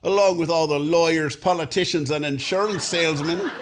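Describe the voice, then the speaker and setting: A man's voice: fairly high pitch, brisk, very noisy, natural voice. A middle-aged man playing a cheerful, wisecracking Irishman with an Irish accent in 1940s radio comedy style. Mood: jovial boasting. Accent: put-on Irish.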